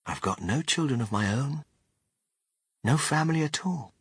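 A man's voice: mid-range voice, expressive, moderate pace, husky, dry recording, clean studio sound, pitched above the performer's own voice. A man voicing an eccentric, exuberant older chocolate factory owner in a storybook, with theatrical, emphatic delivery. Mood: wistful, confiding. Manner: theatrical, emphatic, with sudden swoops.

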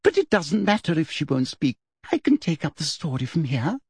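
A man's voice: a little high, sing-song, moderate pace, a little mellow, husky, no echo, studio quality, heavily acted. A man voicing a simpering, falsely sweet woman official with a cruel streak underneath, as an audiobook character. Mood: smug.

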